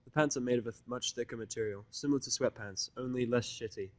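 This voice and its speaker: Brief: male story narrator, medium pitch, close dry recording, first-person delivery with a blunt sardonic edge. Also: quick pace, no room sound. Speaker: fan fiction narrator.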